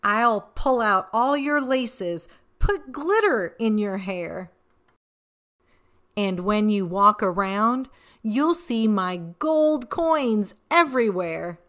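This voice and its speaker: Storybook leprechaun played by a woman: boastful, cheeky male sprite, unhurried rhymes, swooping pitch. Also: expressive, a bit bright. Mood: sly boasting. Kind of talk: rhyming storybook read-aloud.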